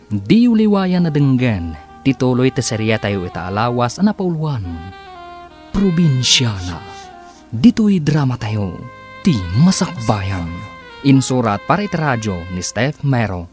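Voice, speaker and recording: Male radio serial narrator, northern Philippine accent, mid pitch, very lively intonation, scripted delivery. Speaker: radio drama announcer and narrator. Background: music.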